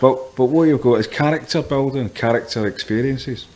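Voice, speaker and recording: A man's voice: medium pitch, normal speed, a little mellow. A man co-hosting a podcast, thoughtful and storytelling in a casual group discussion. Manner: storytelling, thoughtful, laughs easily. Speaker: podcast co-host.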